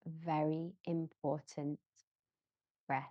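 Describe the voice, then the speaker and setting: A woman guiding a yoga nidra relaxation in a gentle, measured manner, leaving long pauses between short phrases. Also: low voice, level tone, no room sound, muffled recording. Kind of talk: slow guided relaxation meditation.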